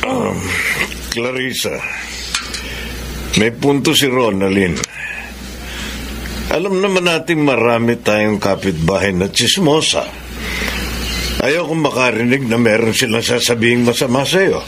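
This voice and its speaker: An actor playing an ailing, jealous and despairing husband in a radio drama. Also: male voice, medium pitch, expressive, a little slow, pauses often, background noise. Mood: guarded. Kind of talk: Tagalog radio drama acting. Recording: music bed under the voice.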